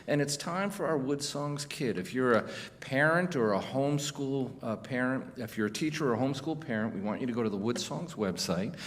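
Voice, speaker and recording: A man's voice: mid-range voice, expressive, a little fast. American man hosting in a reverberant hall. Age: middle-aged or older. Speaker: radio show host. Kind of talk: live radio show introduction to an audience. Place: theater stage. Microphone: stand microphone at lectern. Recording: live in a reverberant theater with audience.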